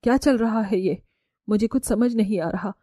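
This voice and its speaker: A woman's voice: medium pitch, moderate pace, mellow, no room sound, clean studio sound, lightly acted. A woman voicing an indignant, snobbish middle-aged mother-in-law in a cartoon story, scolding with emphasis. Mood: baffled.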